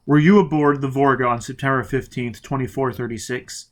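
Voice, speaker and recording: A man's voice: medium pitch, fairly even intonation, a little fast, lightly acted. A man reading a story and voicing a brutal, driven spaceman who barks clipped, demanding lines. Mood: demanding, hard. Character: brutal, driven male spaceman interrogating with growling menace.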